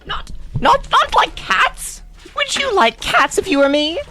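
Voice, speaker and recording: Touchy little mouse, played by a woman; sharp, high, very animated. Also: unhurried, vocal fry, a little hiss or hum, full character voice. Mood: indignant. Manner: indignant, haughty. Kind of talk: audio drama acting.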